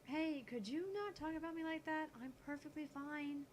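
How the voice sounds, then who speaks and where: A man voicing an indignant, sharp-tongued young tsundere woman in a visual novel, high and scolding. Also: fairly even intonation, moderate pace, a little hiss or hum. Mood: annoyed.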